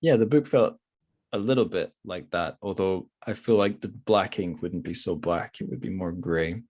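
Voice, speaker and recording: A man's voice: low-pitched, moderate pace, a little mellow, muffled recording. A man hosting a casual literary interview, thinking aloud in a hesitant, rambling way. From Scotland. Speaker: podcast host.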